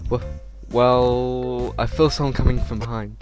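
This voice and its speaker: A man reads visual novel text aloud as a let's play host. His mid-pitched voice is informal and trips over the script now and then, with faint game music behind him. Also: flat delivery, a little slow. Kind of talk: reading visual novel text with casual reactions.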